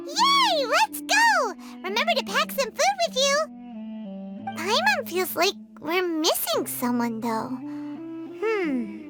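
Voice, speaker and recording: A woman voicing a tiny, excitable, childlike fairy companion in a video game. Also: very high voice, slow, heavily acted. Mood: excited. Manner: refers to self in third person, exclaims. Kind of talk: video game cutscene acting. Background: music.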